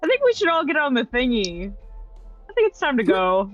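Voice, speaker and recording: A woman's voice: high-pitched, huge pitch swings, fairly quick. A woman chatting and roleplaying as a player in a tabletop game, playful and informal. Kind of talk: casual banter and roleplay. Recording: muffled lo-fi, light music behind.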